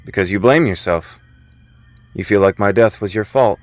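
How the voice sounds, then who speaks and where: An actor playing a dead young friend who appears as a hallucination in an audio drama, calm, frank and reassuring. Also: male voice, lowish voice, normal speed, no echo, lightly acted. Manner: steady, familiar, gently firm.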